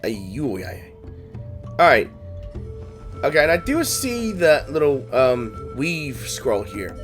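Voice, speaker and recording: A man's voice: a little high, big pitch swings, takes it slowly. A man giving casual, playful game playthrough commentary, thinking aloud and reacting, with game music behind. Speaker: gaming streamer.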